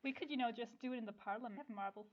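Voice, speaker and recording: A woman doing casual improvised comic role-play with a deadpan, bawdy manner. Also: fairly high pitch, rapid-fire, a crowd in the background. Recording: dull, lo-fi podcast sound.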